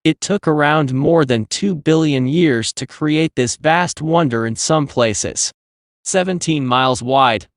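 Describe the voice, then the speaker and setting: A man reads prepared passages aloud as exam practice. His voice sits at a middle pitch. The sound is very dry, with no room around it. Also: dry recording. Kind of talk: scripted read-aloud narration.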